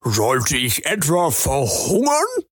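A man voicing a sly, greedy frog-like creature who talks in a slow, drawn-out, gloating way. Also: fairly high pitch, huge pitch swings, fairly bright tone, no echo, studio quality, exaggerated voice. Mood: smug.

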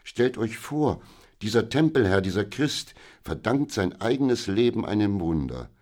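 A man voicing an excitable woman companion in a children's audiobook, speaking in a hurried, urgent and pleading way. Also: lowish voice, voice pushed up. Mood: excited. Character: excitable middle-aged woman companion, breathless talker. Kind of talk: audiobook character voice.

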